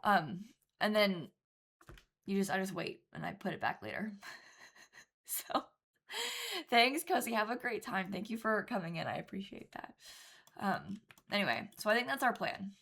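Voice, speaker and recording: A woman's voice: mid-range voice, big pitch swings, moderate pace, vocal fry, pauses often, a bit bright. A young woman streaming a building game from home, sounding congested from a cold and thinking aloud with lots of ums and little giggles. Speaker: gaming streamer. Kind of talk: casual live-stream chat while building in a game.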